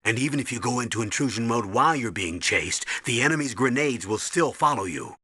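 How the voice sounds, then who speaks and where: Stern, hard-edged military commander. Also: male voice, mid-range voice, animated, a little fast, sharp tone, slightly rough, no echo, studio quality. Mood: instructive. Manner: authoritative, urgent briefing. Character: stern older male military commander guiding a soldier. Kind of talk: video game voice acting, tutorial instructions.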